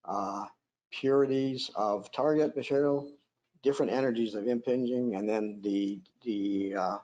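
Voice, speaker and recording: A man's voice: mid-range voice, fairly even intonation, measured pace, mellow, highs cut off. A man giving a technical conference lecture over a video call, with a droning voice, often hesitating with fillers and restarts.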